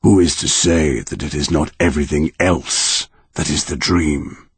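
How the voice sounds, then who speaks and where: A man voicing an ancient, towering tree monster that speaks in a slow, booming, declaiming way with a gravelly edge. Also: steady tone, dry recording, exaggerated voice. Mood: solemn.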